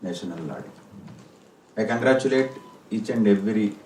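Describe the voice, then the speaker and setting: Echoey, low-voiced Indian man giving a speech. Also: normal speed, darkish tone. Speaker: senior company executive giving a speech. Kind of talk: formal ceremonial speech. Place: corporate hall. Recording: echoey hall, distant mic, faint noise.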